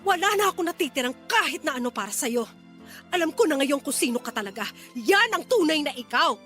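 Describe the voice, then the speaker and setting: A woman's voice: high voice, lively intonation, fairly quick, a bit bright, soft background music. An actress with a Filipino accent playing a fed-up, fiery mother in an emphatic radio drama style. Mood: furious. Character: fed-up, fiery mother confronting her lying ex.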